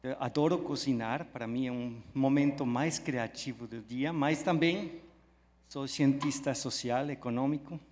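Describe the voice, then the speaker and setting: A man from Belgium, a native French speaker, gives a conference talk in Portuguese full of Spanish words. His voice sits at a middle pitch, warm and eager. It rings with the long reverb of a big hall. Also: measured pace. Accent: French and Spanish, speaking Portuguese mixed with Spanish. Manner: friendly, enthusiastic, mixing Spanish words into Portuguese. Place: large hall at a live event. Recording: echoey hall sound.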